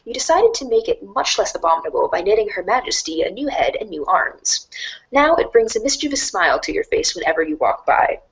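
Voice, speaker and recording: A woman voicing a wry, mock-grandiose storybook narrator in a dramatic read-along style, deadpan and sardonic. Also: mid-range voice, fairly quick, poor audio. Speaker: narrator of a fan readers' theater show.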